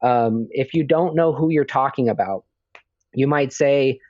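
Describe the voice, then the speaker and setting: A man's voice: mid-range voice, measured pace, a little mellow, no room sound. Hesitant man with muffled lo-fi sound. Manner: hesitant, many fillers and restarts. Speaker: podcast co-host. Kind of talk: casual chat. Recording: dull lo-fi audio.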